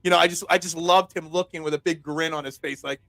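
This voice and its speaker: Loud philly guy hosting sports talk, high-ish bright voice, dry close sound. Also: steady tone, fast, no echo. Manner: chatty, rambling storyteller with many restarts. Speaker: host. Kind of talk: casual sports talk interview.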